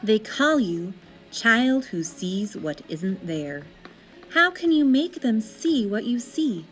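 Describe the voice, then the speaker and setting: Children's story reader: woman, lightly high voice, very lively intonation, deliberate dramatic phrasing, music underneath. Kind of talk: expressive storybook narration.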